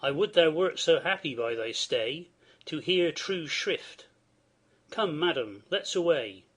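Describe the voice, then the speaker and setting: A man playing a worried, dignified older nobleman and father, reading Shakespearean verse in a formal, measured, declamatory way. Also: on the high side, expressive, a bit bright, husky, heavily acted. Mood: resigned.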